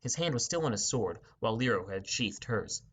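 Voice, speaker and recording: A man's voice: on the low side, expressive, fairly quick, breathy edge. A man narrating a scripted first-person fantasy adventure as an audiobook.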